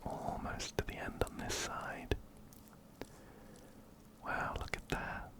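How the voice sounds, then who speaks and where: A man hosting an ASMR game playthrough in a gentle, breathy whisper, very close to a binaural microphone. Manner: gentle, unhurried, soft-spoken. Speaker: ASMR gaming host. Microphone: close binaural microphone, ear to ear.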